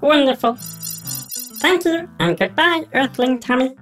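Alan the alien, a man squeaking out a polite alien. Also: expressive, measured pace, fairly bright tone, faint music behind. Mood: earnest. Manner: formal, stiff phrasing. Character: polite friendly alien visitor, male.

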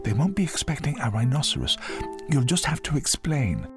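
Man doing an eager little schoolboy, pitched up. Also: sing-song, normal speed, over music. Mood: earnest, excited. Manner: bright, eager, keen questioning. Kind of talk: children's storybook character voice.

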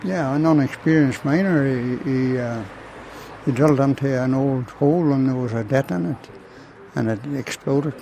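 A man's voice: medium pitch, moderate pace, dark tone, a little hiss or hum, rough recording. An older retired miner chatting in an interview, rambling and restarting his words. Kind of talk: casual interview chat. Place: outdoors at a tournament venue.

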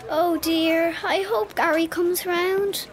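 A woman's voice: very high-pitched, slow pace, slight background noise. A woman voicing an eager, outspoken little girl in a cartoon. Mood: worried. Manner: excited, outspoken. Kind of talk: cartoon voice acting.

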